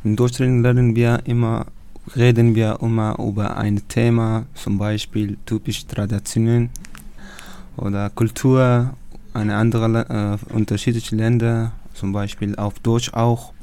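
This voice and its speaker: A man from Afghanistan, not a German speaker, answering interview questions in halting learner's German with many pauses and fillers. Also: lowish voice, flat delivery, warm tone. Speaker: course participant.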